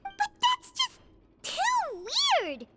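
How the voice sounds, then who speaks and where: A woman voicing a tiny, excitable childlike fairy companion in a video game, squeaky and emphatic. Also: extremely slow, heavily acted. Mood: baffled, indignant. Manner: exclamatory, emphatic. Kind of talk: English video game voice acting, cartoonish. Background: game music behind the voice.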